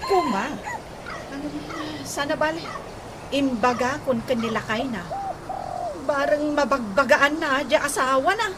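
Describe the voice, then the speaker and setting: A woman's voice: sits high, unhurried, long pauses, noisy background. A woman playing a scornful, gossiping sister-in-law in a radio comedy drama, with an Ilocano accent. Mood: disapproving gossip.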